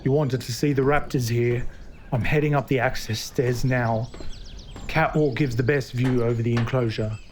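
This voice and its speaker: A man performs a knowledgeable dinosaur keeper in a found-footage horror drama. He sounds matter-of-fact and keen, mid-pitched, talking in short informative phrases. The recording carries faint ambient noise. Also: steady tone, normal speed, a little mellow, natural voice. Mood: businesslike. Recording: noisy tape with outdoor ambience.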